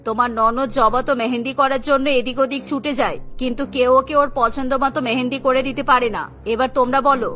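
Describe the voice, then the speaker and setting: A woman's voice: high voice, fairly even intonation, brisk, few pauses, fairly bright tone, pitched below the performer's own voice. A woman voicing a befuddled, fussy but kindly older mother-in-law. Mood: admiring, curious. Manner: puzzled, fussing.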